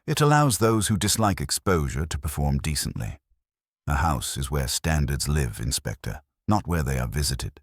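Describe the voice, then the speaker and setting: A man's voice: rumbling low, sing-song, normal speed, breathy edge, no room sound, studio quality, exaggerated voice. A man voicing a prim, imperious older English widow who speaks in precise, formal aphorisms for an audio mystery drama. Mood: precise, lofty.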